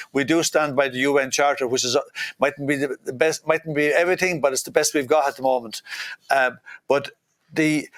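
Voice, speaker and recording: A man as a guest politician in an interview, blunt and indignant, full of "uh" fillers. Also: dry recording. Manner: blunt, indignant, many "uh" fillers, mocking quotes in a higher voice. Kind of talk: impassioned interview answers.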